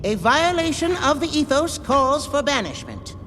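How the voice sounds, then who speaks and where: An actor voicing a small, ancient, furry male scholar creature who lectures gravely. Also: extremely high pitch, moderate pace, exaggerated voice. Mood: stern. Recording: reverberant animated series audio with music.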